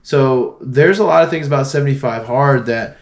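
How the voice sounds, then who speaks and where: A man's voice: medium pitch, moderate pace. A young man from Florida talking earnestly to camera in a casual motivational vlog, with frequent restarts and fillers. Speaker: vlogger.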